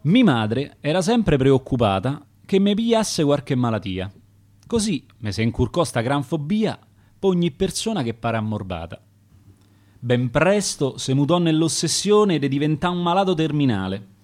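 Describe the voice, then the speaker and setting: A man's voice: fairly high pitch, very animated, a little slow, a little mellow. A man reading the verse of a dead hypochondriac, in a Roman accent. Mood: anxious. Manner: reciting dialect verse in rhyme.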